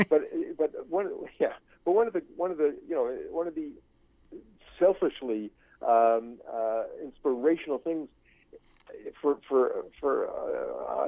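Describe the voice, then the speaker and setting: Halting older man on a phone-like line. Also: mid-range voice, no room sound. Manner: halting, searching, circles back over phrases. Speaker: guest, screenwriting professor and writer. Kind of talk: unscripted interview talk.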